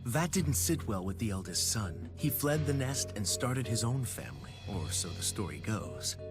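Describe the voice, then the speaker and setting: Smug, smooth-talking scheming male director. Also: fairly low pitch, sing-song, normal speed. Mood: smug, explanatory. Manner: polite, condescending, insinuating. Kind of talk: video game cutscene acting. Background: background music.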